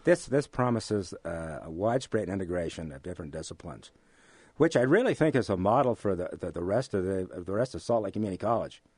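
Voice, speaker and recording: An American man being interviewed, speaking in a halting, measured way with formal, academic wording. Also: low voice, expressive, a little mellow, no room sound. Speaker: interview guest, amateur radio drama actor. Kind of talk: relaxed interview conversation.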